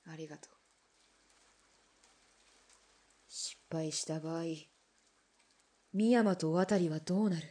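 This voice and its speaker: A woman's voice: a little slow, mellow. A woman playing a defiant Russian ex-officer in a radio drama, blunt and challenging. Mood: subdued. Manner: blunt, challenging, low and clipped. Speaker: former Russian imperial soldier. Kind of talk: hobby radio drama acting.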